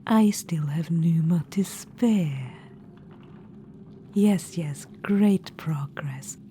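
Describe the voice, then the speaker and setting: A woman's voice: fairly low pitch, very slow, warm tone, a little hiss or hum. A woman voicing an imperious, gleefully macabre undead witch-queen in a whispered audio roleplay, cooing "darling" at the listener. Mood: doting menace.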